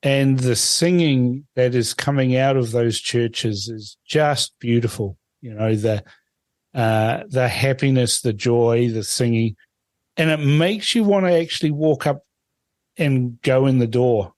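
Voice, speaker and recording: A man's voice: medium pitch, a little slow, a little mellow, no echo. A man from Fiji hosting a radio interview, asking long, chatty, rambling questions. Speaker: radio host.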